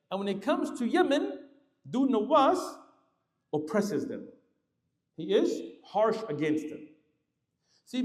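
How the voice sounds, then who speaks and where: A man preaching a sermon, telling a story with lively explanatory asides. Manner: animated, lively pitch swings, explanatory asides. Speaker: Islamic preacher. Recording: roomy hall reverb.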